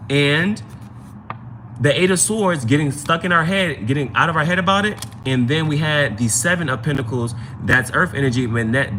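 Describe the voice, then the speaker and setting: A man's voice: medium pitch, bright, forceful tone, a little hiss or hum. A man hosting a casual live tarot reading, giving advice conversationally and often repeating his phrases. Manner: conversational, repeats phrases and adds "you know what I'm saying".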